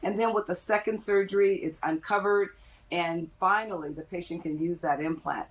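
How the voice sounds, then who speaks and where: A middle-aged woman speaking as a dentist in an interview, explaining things in a measured way. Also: on the low side. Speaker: dentist interviewed as expert guest.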